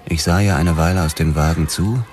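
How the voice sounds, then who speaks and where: An actor playing a brooding, world-weary detective who narrates a radio drama in a measured, sombre manner. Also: male voice, low voice, steady tone, darkish tone, some chatter behind, close to an everyday voice. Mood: reflective. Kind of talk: scripted first-person radio drama narration.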